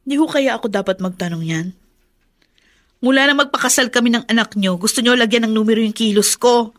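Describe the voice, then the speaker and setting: Casting: woman to play young daughter-in-law, radio drama. High, sharp voice; wounded, defiant, emotional. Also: brisk, natural voice. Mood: tense, defiant. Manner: emotional, indignant delivery. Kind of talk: Filipino radio drama acting.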